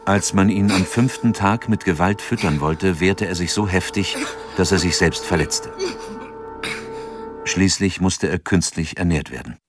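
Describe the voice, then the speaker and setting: A man narrating an audio drama from a script in a measured, sober manner. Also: deep voice, music in the background.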